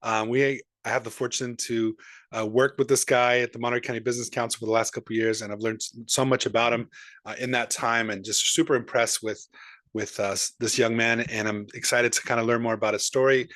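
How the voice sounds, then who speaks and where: A friendly male host leads a relaxed interview, upbeat and chatty, often restarting his sentences. His voice has a middle pitch. The sound is dry and close, with no room around it. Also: fairly quick, no echo. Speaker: podcast host. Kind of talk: casual interview chat.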